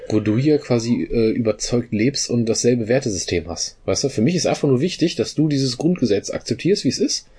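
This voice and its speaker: A man's voice: medium pitch, lively intonation, a little fast, few pauses, dark tone, breathy edge. A man in a casual group discussion, laying out his views thoughtfully and searching for words with many fillers.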